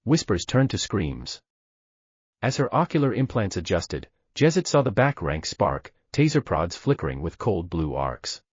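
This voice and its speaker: Male narrator, very deep voice, lively dramatic delivery, dull lo-fi sound. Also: a little slow, slightly rough, no room sound. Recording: dull dry lo-fi recording.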